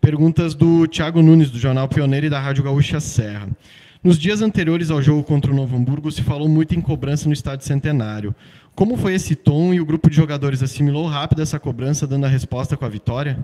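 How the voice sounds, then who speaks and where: A man reading out journalists' questions for a club press conference in a steady tone. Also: on the high side, fast talker.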